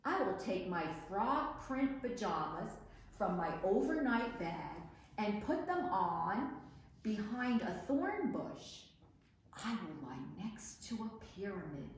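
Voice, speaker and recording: A woman reading children's picture books aloud in an expressive, dramatic storytime manner. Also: mid-range voice. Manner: animated, dramatic, measured. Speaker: library storytime reader. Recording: echoey room with background noise.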